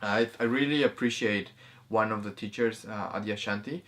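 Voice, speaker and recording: Man, lowish pitch, conversational co-host, halting phrasing, informal discussion. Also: a bit bright. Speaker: co-host of a movie review. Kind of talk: casual conversational discussion of film and spirituality.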